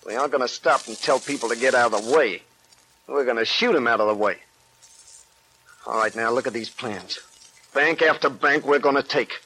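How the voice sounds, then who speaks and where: A man's voice: mid-range voice, a bit bright, husky. An actor playing a cocky, ruthless gangster boss in 1940s radio style, boasting in tough-guy slang. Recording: noisy vintage 1940s radio recording.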